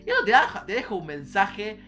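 A man's voice: high voice, big pitch swings, a little fast, sharp tone, soft background music. A young man with an Argentine accent chatting casually as a podcast host.

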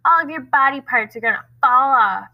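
A woman voicing a dim-witted schoolboy bully's sidekick who screams shrill taunts. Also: animated, moderate pace, muffled recording.

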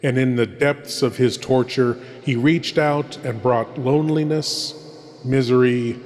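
A man hosting a horror show with ominous, theatrical delivery and dramatic pauses. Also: mid-range voice, steady tone, a little mellow. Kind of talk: scripted gothic horror hosting. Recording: long hall reverb.

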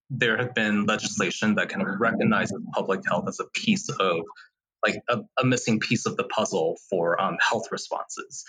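Bright, husky man in his thirties. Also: fairly low pitch, poor audio. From Taiwan. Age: 30s. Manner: reflective, measured, with fillers. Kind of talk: casual conversation.

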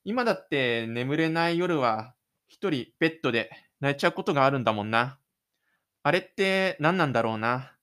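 A man's voice: medium pitch, expressive, normal speed, a bit bright, clear, resonant tone, close to an everyday voice. A man voicing a shy, stubborn, lonely teenage schoolboy in an introspective audio drama monologue. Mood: lonely, anxious.

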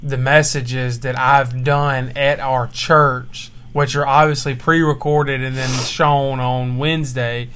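Young male co-host, teasing and jokey, mid-pitched, faint hiss at home. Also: little pitch movement, slow pace, hardly any pauses, a bit bright. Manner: joking, teasing, laughs often. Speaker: podcast co-host and church minister. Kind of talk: casual chat with his wife. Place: home at night. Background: faint baby monitor hiss.

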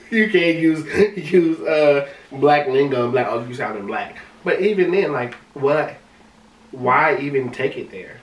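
A man with a high-pitched voice, co-hosting a casual podcast chat, talking in an animated way and often restarting his words. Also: unhurried. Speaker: podcast co-host. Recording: roomy sound from a distant mic.

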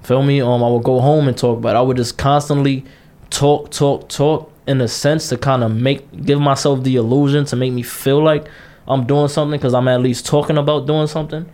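A man's voice: medium pitch, fairly even intonation, a little fast, darkish tone. A man in his late twenties co-hosting a casual podcast, talking bluntly and passionately, repeating himself and cursing freely. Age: 20s. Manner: blunt, repetitive, passionate, curses a lot. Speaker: podcast co-host.

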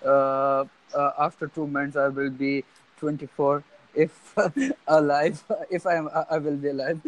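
Halting young man, high voice. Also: flat delivery, low-quality recording. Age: 20s. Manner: halting, many restarts and fillers. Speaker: conversation partner. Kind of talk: casual chat.

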